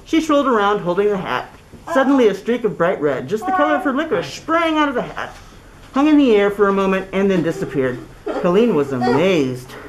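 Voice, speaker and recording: A middle-aged woman reading a children's story aloud through a cloth mask in an expressive, deliberate way. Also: mid-range voice, crowd noise, poor audio. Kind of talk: read-aloud storybook narration. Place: small indoor classroom. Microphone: camera a few feet away, speaking through cloth mask.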